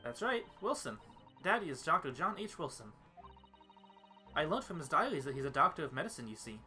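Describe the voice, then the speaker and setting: Someone playing a bright, chatty young girl. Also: medium pitch, sing-song, brisk, soft background music. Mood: bright, animated. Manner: chatty, childlike, innocent phrasing. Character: precocious, cheerful young girl storyteller, proud of her father. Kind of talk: fan dub reading of game dialogue.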